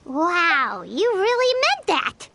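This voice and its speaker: A woman voicing a tiny, excitable, childlike fairy companion in a video game, bubbly and exclamatory. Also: very high-pitched, slow pace, slight background noise, exaggerated voice. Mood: surprised, upbeat. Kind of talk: video game character dialogue.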